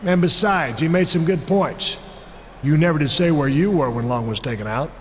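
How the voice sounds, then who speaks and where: A stern authority figure played by a man in a game cutscene, measured and probing, with a slightly high pitch. The voice rings in a long reverb with faint noise behind. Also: expressive, close to an everyday voice. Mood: stern, skeptical. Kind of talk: video game cutscene acting.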